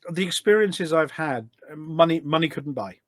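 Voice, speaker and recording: A middle-aged man chatting casually as a podcast guest, telling stories in an animated way. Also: on the high side, moderate pace, no echo. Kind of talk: casual anecdotal chat.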